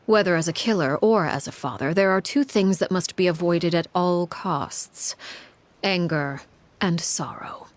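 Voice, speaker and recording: A cold, calmly menacing matriarch of a crime family played by an actress for a video game. The voice sits fairly low, and each sentence is measured, formal and deliberate. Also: female voice, lightly acted. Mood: stern, cold. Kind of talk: dramatic video game voice acting.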